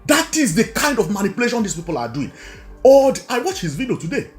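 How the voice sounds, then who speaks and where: A Nigerian man speaks in a high, very animated voice as he comments on politics. He stresses and repeats his points and throws out rhetorical questions, with music playing underneath. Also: quick pace. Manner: emphatic, repetitive, rhetorical questions. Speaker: online video commentator.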